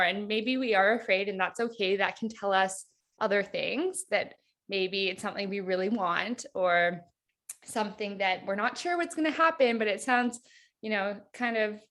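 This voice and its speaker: A woman's voice: medium pitch, fairly bright tone. A woman in her thirties, a hypnotherapist guest on a podcast, talking in a chatty, reflective way with many fillers like "like". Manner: chatty, reflective, heavy use of "like". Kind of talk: casual podcast interview.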